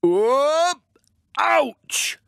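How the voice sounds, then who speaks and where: A man's voice: very high voice, sing-song, extremely slow, vocal fry, a bit bright, no echo, clean studio sound, pitched above the performer's own voice, exaggerated voice. A man reading the part of a friendly, good-natured village postman in a children's audiobook. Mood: pained. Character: cheerful friendly village postman, a little hapless.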